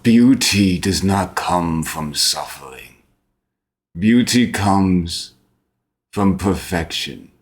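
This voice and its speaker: A man voicing a calm, grandiose lich in a fantasy tabletop game, speaking with solemn, measured persuasion. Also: sits low, full character voice. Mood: persuasive, assured. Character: calm, grandiose male lich promising escape from death.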